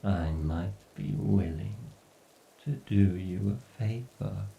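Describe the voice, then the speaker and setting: A man playing an obsessed, sadistic, godlike AI that speaks with slow, theatrical menace in a horror ASMR roleplay. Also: fairly low pitch, fairly even intonation, vocal fry, reverberant, recorded from a distance, background noise, full character voice. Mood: possessive, sadistic. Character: obsessed, sadistic, godlike male AI tormenting its captive human.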